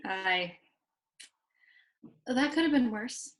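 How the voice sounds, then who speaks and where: A woman's voice: a little high, animated, drawn-out words, fairly bright tone, heavily acted. A woman playing a teasing, self-assured young partner in a script read-through. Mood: bossy, playful.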